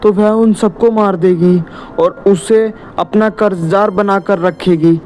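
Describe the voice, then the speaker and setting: Man voicing timid village boy Sonu, high-pitched. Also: fairly even intonation, slow, a little mellow. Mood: grave, scared. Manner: fearful, hesitant, pleading.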